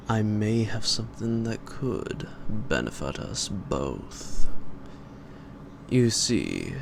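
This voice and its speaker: A man playing a suave, psychotic gentleman vampire in an audio roleplay, pompous, taunting and predatory, with drawn-out and sometimes whispered delivery. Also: heavily acted. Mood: feigned politeness. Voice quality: very deep, gravelly. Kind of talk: theatrical roleplay monologue to the listener. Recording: noisy amateur home recording.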